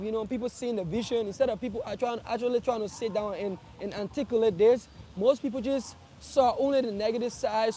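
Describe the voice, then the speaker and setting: Young man, high voice, earnest, halting restarts, outdoors, faint street traffic behind. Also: warm tone. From Liberia. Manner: earnest, repeats and restarts phrases. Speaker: streamer. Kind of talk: casual live address to followers.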